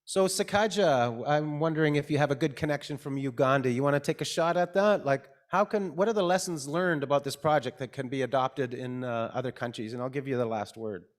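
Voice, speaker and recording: A man's voice: medium pitch, fairly quick, hardly any pauses. A man facilitating a workshop panel, putting questions to speakers and restating their answers to check he has understood. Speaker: session facilitator. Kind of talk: hosting a conference workshop session.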